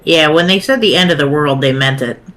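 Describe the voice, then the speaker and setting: Mid-pitched speaker, playful, slangy, casual group chat, co-reader commenting. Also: a little fast, crowd noise.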